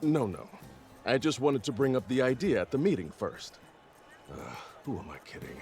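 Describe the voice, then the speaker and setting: An actor playing a weary, earnest army general in a video game, speaking formally and with measured care. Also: male voice, mid-range voice, sing-song, darkish tone. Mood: weary, resolute. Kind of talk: dramatic video game voice acting. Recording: music behind the voice.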